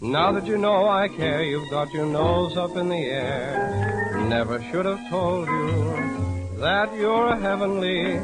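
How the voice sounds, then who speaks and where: Casting: male 1930s pop singer, high voice, unhurried, with loud accompaniment. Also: low-quality recording. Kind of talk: 1930s popular song singing. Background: loud music accompaniment.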